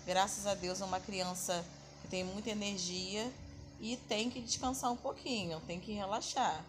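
A woman's voice: mid-range voice, moderate pace. A woman in her thirties from Brazil, chatting through a casual home vlog from her kitchen and dining table, often calling her viewers "family". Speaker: family vlogger. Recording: noisy home phone recording.

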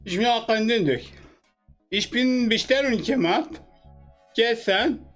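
Give me a man doing a slow, confused Turkish-accented caller. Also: very high-pitched, fairly bright tone, soft background music, heavily acted. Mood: confused, insistent. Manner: halting broken German, spelling laboriously. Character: bumbling male immigrant prank caller, slow and confused. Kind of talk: prank phone call. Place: small dim streaming room. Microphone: studio microphone nearby, phone held in hand.

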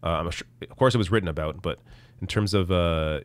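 Low-voiced man losing his voice. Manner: casual, halting, many restarts. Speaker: political show host. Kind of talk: live stream chat reading. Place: small dark room, indoors. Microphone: studio mic on arm in front.

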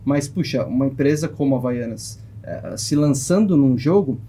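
A Brazilian man in his thirties explaining his points in a measured, slightly hesitant way during a casual panel discussion. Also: mid-range voice, expressive, warm tone, slight background noise. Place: small padded podcast studio. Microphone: close boom-arm studio microphone.

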